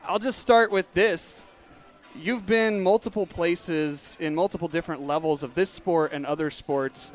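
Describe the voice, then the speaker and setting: A young man hosting a baseball radio broadcast from a stadium booth, mixing play-by-play calls with interview questions. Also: a little high. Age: young adult. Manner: steady announcer delivery mixing calls and questions. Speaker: play-by-play announcer and host.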